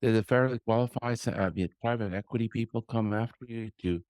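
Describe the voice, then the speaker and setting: A man's voice: lowish voice, fast, a little mellow, no echo, clean studio sound. A man hosting a casual interview conversation, speaking in a pointed, sardonic way. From born in Colombia, raised elsewhere. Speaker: podcast host, pediatrician.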